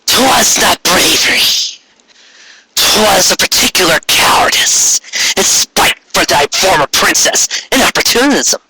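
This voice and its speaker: A man's voice: sits high, lively intonation, creaky, background noise, voice pushed up, heavily acted. A man voicing a regal, menacing female alicorn tyrant in an archaic, grandiose manner for a storybook reading. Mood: suspicious. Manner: archaic, grandiose, slow proclamations.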